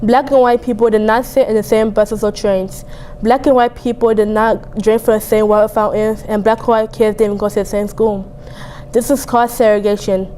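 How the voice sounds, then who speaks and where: Soft-toned young teen schoolgirl reading aloud. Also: mid-range voice, steady tone, normal speed, faint music behind. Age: young teen, 7th grade student. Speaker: student reader.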